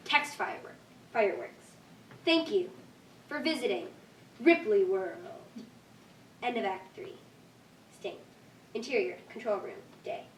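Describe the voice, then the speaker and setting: A woman's voice: fairly high pitch, a bit bright. A woman reading stage directions as scripted narration at a live staged reading. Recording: echoey room, distant mic, faint noise.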